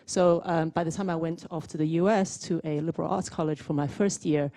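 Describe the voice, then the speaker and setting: A woman's voice: deep voice, steady tone, brisk, warm tone. A woman in her forties, from Zimbabwe, giving a chatty, self-deprecating talk on a panel with many fillers. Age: 40s. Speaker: panel speaker. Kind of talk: informal presentation.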